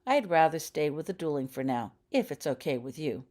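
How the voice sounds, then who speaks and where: A woman's voice: deep voice, very animated, brisk, darkish tone. Woman voicing a shy, earnest schoolgirl witch. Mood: thoughtful, earnest. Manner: hesitant, earnest. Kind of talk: audiobook character dialogue.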